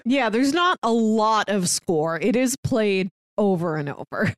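A woman's voice: mid-range voice, expressive, unhurried, no room sound, clean studio sound. A woman co-hosting a movie podcast, giving a casual, wry conversational recap. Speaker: podcast co-host reviewing films.

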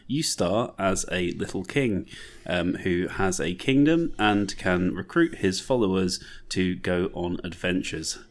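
A man's voice: low voice, unhurried. A man competing in a comedy panel game with casual, sweary banter and improvised reviews. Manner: informal, sweary.

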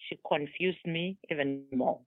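A woman from South Africa whose first language is Tswana, a guest author calling in to an interview and telling her life story in a measured, deliberate way. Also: sits low, dry recording. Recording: dry, tinny phone line.

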